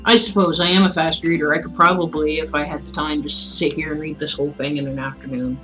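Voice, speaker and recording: A woman's voice: low voice, level tone, fast talker, pushed and bright, faint music behind, poor audio. A woman chatting casually as a livestream host, rambling and musing aloud in an informal way. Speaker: streamer reading stories aloud.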